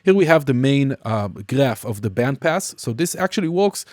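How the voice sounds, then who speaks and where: A man teaching music production in a casual software demonstration, explaining informally and somewhat hesitantly step by step. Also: medium pitch, sing-song, normal speed, no echo. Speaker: music production tutorial instructor.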